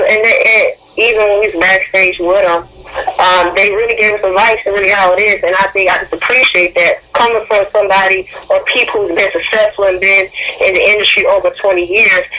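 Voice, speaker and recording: An earnest, plain-spoken woman answers as a radio interview guest. Her voice is on the low side and comes through with clear noise on a call-in line. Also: sharp tone. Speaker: interview guest, singer and youth activist. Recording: noisy radio phone-in line.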